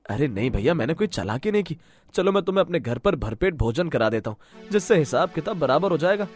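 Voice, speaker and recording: A man's voice: a little high, sing-song, fast, soft background music. A man voicing a nervous, placating villager in a cartoon story, talking defensively. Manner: defensive, appeasing.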